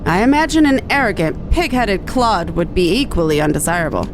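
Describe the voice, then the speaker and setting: A woman's voice: on the low side, lively intonation, a little fast, noisy background, voice pushed down, close to an everyday voice. A woman voicing a bookish, earnest researcher with formal, precise speech in a game read-aloud. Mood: composed, lofty. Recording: music behind the voice.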